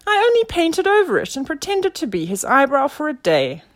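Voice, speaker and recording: Woman voicing a tiny squeaky caterpillar artist. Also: huge pitch swings, brisk. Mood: indignant, squeaky. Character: tiny apologetic male caterpillar artist, wistful.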